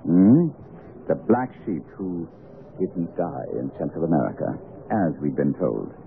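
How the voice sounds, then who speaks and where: Radio play lead: commanding, self-assured sleuth, deep voice, muffled vintage broadcast. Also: male voice, big pitch swings, unhurried, vocal fry, exaggerated voice. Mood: decisive. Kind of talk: radio drama acting. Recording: muffled old radio broadcast, faint noise.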